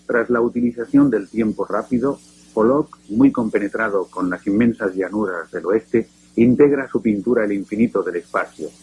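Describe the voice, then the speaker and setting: A man narrating a documentary in a grave, deliberate scripted voice. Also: lowish voice, darkish tone, slight background noise, rough recording.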